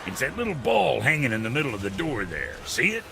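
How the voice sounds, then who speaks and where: An actor playing a brash, folksy blacksmith in a video game, cocky and impatient yet helpful, with a casual, colourful way of talking. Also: male voice, medium pitch, big pitch swings, fairly quick, gravelly, very noisy. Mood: cocky, instructive. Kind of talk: video game character acting.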